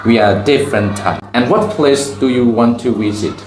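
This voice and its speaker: A man's voice: medium pitch, moderate pace. Man, echoey interview. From Cambodia. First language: Khmer. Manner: simple broken English, answers each reply with "okay". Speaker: teacher and channel host. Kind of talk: classroom question-and-answer video. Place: school campus. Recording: echoey, with faint background music.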